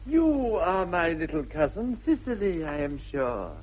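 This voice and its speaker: Suave, animated English dandy. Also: male voice, high voice, unhurried, slight background noise. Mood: charming. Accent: upper-class English. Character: suave, flippant, rakish young English dandy. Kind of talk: 1960s radio comedy-of-manners acting. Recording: dull, muffled old radio broadcast.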